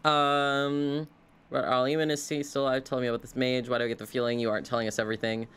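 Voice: medium pitch, level tone, fast talker, fairly bright tone, smooth. A game streamer giving casual, playful live reactions, chatting and muttering to themselves while reading game lines aloud.

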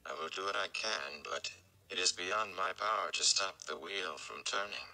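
Guy reading as a tired but commanding sorceress in a fantasy book, calm, measured, low and creaky. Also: heavily acted, rough recording.

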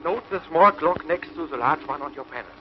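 A man's voice: fairly high pitch, expressive, brisk, lightly acted. A man playing a precise scientist, muffled track. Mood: instructive. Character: precise, explaining male scientist. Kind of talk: 1950s TV sci-fi serial acting. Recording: dull, noisy 1950s radio-like TV soundtrack.

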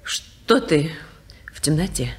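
A woman's voice: deep voice, animated, slow. An actress playing a woman in a tense family drama, in 1950s radio play style. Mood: troubled.

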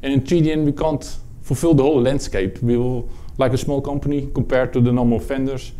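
A man from the Netherlands with a Dutch accent, presenting a technical product talk at a conference, halting, with many fillers and restarts. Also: medium pitch, fairly even intonation, dark tone. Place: conference room with audience.

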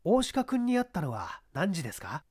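A man's voice: high-pitched, huge pitch swings, a little mellow, husky, pitched below the performer's own voice, full character voice. A man playing a composed, probing male detective. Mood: pressing. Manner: polite, measured, persistent. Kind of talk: dramatised audiobook reading.

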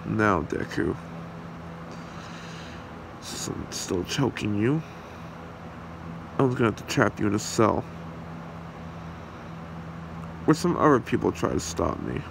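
Man acting as demon husband, low voice, wildly swinging pitch, taunting, cruel, possessive, ASMR roleplay. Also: full character voice. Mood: menacing. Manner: slow, taunting, menacing. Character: possessive male demon husband, menacing, mocking, cruel captor. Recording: clearly noisy home recording, steady hum.

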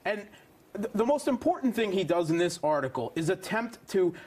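A man in his forties with a rather high voice argues as a foreign affairs analyst. His delivery is halting but emphatic, with a scornful edge, in a TV studio debate. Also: sing-song, darkish tone, slight background noise. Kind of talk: political panel debate. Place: TV studio at a round desk.

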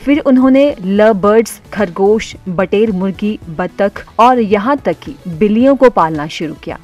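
Female news narrator, steady formal read, soft music bed. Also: mid-range voice, a little slow. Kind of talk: scripted news voice-over.

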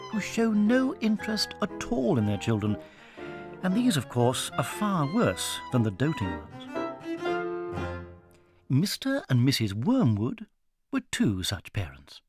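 A man's voice: medium pitch, huge pitch swings, measured pace. A man reading scripted storybook narration as an audiobook narrator. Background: loud instrumental music.

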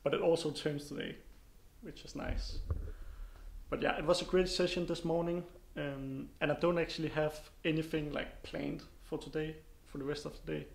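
A young man, chatting casually to a handheld camera about his training day, relaxed and full of fillers. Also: a little high, moderate pace, creaky. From Denmark. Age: young adult. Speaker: kayak athlete vlogger. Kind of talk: casual vlog narration. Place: apartment living room indoors, then car and outdoors. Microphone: handheld camera at arm's length.

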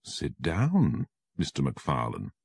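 A man voicing a self-assured male detective in a crisp, confident manner. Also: a bass voice, huge pitch swings, slow pace, darkish tone, no echo, clean studio sound, exaggerated voice. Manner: crisp, confident, slightly lifted.

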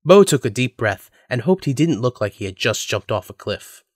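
A man's voice: mid-range voice, big pitch swings, normal speed, no room sound, studio quality. A man reading scripted story narration as the narrator of an audio drama.

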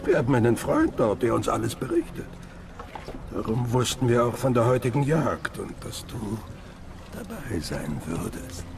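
Stern wary chieftain in a radio drama, gravelly voice that swings up and down, bossy and deliberate. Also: male voice, mid-range voice, wildly swooping pitch, background noise. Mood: firm, measured. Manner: deliberate, questioning, authoritative. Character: stern Kurdish village chieftain, wary and commanding.

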